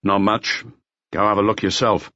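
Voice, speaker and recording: A gruff, terse male detective from an audiobook as a man acts him. He is curt and unimpressed, wasting nothing, in a close, dry and slightly dull recording. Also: fairly low pitch, fairly even intonation, moderate pace, pushed and bright, no room sound. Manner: curt, laconic.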